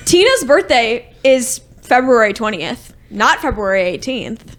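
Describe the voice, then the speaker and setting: A woman's voice: a little high, big pitch swings, slow pace, a bit bright. A woman in her twenties, a guest on a podcast, chatting casually and teasing her friends playfully. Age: 20s. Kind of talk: casual chat.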